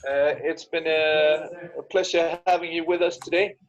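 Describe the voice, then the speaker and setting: A man giving measured, hesitant parting advice to a class over a call. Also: a little high, level tone, highs cut off. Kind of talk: closing remarks on a call.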